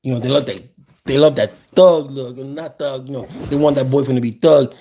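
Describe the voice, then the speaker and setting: A man of about fifty with a gravelly voice, talking casually and with opinions, often repeating phrases for emphasis. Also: medium pitch, a little fast, warm tone. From South Bronx, New York, USA. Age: around 50. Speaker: host.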